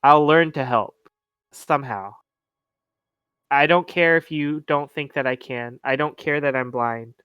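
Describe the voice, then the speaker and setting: A man's voice: fairly high pitch, unhurried, bright, forceful tone, clean tone, no room sound, highs cut off. A man playing a tabletop game, speaking in character in an earnest, polite, determined way. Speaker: player.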